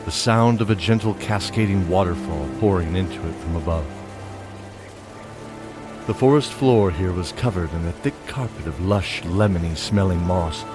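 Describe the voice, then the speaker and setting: A man narrating a fantasy audio drama in a measured, descriptive storytelling style, with faint music behind him. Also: low-pitched.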